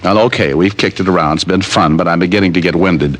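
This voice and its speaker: An American actor playing a flip, cash-strapped private detective with deadpan wisecracks in an old-time radio drama. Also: male voice, deep voice, fast talker. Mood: dry, skeptical.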